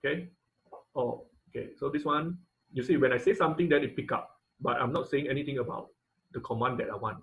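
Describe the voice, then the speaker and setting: A man giving an informal live technical lesson as an instructor, speaking haltingly, repeating phrases and often checking in with "okay" and "right". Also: medium pitch, poor audio. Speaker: online class instructor.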